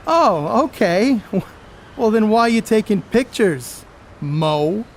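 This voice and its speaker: Male actor as brash middle-aged ballplayer, high pitch, unhurried, blunt teasing, radio drama, faint background noise. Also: expressive, exaggerated voice. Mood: sheepish. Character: brash wisecracking star ballplayer, middle-aged man, gruff but good-natured. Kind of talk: radio play acting.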